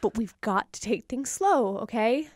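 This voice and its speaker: An actress playing a weary, hesitant insect girlfriend in an animated film, speaking in a reluctant, understated, natural way. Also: a little high, measured pace, dry recording, clean studio sound.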